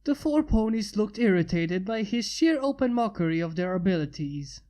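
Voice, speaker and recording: A woman reading an amateur fanfic aloud in a steady, even narration. Her voice sits at a middling pitch. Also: moderate pace. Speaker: fanfic reader.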